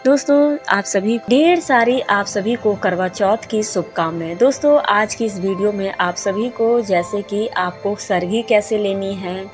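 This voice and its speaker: A hoarse woman who is a little unwell, hosting a devotional channel and chatting her way through ritual steps, repeating herself and calling viewers her friends. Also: medium pitch, measured pace, hardly any pauses, soft background music. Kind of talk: casual spoken ritual guide.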